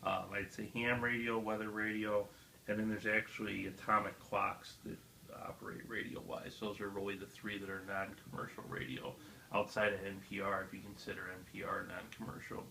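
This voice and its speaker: A man's voice: on the low side, little pitch movement, moderate pace, gravelly, sharp tone. A man chatting casually as a guest, explaining some history in an informal way. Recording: roomy echo with background noise.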